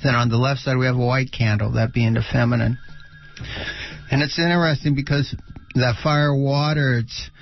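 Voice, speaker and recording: A middle-aged or older man with a creaky, gravelly voice teaches about spiritual healing in conversation. His delivery stays fairly even in pitch and is halting, with many pauses mid-sentence. The radio recording is slightly dull. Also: soft background music. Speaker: spiritual healer and radio show host.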